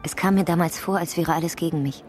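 A woman's voice: lowish voice, steady tone, fast talker, a little mellow, faint music behind, lightly acted. An actress in a German radio drama playing an earnest, troubled woman in a draining love, searching and introspective. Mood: melancholy, introspective.

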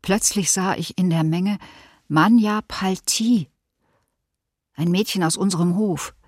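A woman survivor recalls her youth in a fairly low voice. She is measured and serious, recorded dry as testimony for radio drama. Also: a little mellow, dry recording. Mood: serious, remembering.

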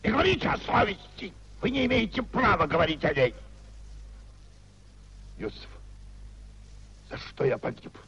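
A man's voice: high voice, sing-song, moderate pace, gravelly, long pauses, bright, forceful tone, slight background noise, full character voice. An actor in a 1950s Russian radio play as a proud, bitter older nobleman, ruined and raging at his wife in declamatory, indignant style. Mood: bitter outrage. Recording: dull, muffled 1950s radio recording.

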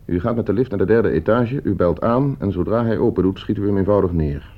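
An actor playing a coldly scheming gentleman heir in a radio play, suave, calculating and condescending. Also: fairly low pitch, a little fast. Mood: calm, commanding. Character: coldly scheming, broke gentleman heir plotting his uncle's murder. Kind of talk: Dutch radio play acting. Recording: muffled old radio play recording.

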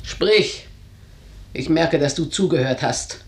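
A woman's voice: sits low, slow pace. Domineering mother of the house, commanding radio drama delivery, roomy and a little distant. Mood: stern, cold. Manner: imperious, commanding, declamatory. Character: domineering, ageing matriarch, harsh and commanding tyrant mother. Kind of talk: German radio drama acting. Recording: roomy echo, slightly off-mic.

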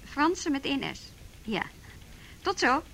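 Radio drama: brisk female youth police officer, higher voice, matter-of-fact, slight background noise. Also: sing-song, gravelly, fairly bright tone, exaggerated voice. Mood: businesslike. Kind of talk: Dutch radio play acting, naturalistic dialogue.

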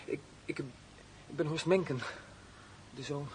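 An actor in a radio drama playing a prisoner's grown son, hesitant and embittered, halting and repeating his words with awkward politeness. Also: fairly high pitch, a little mellow, slight background noise.

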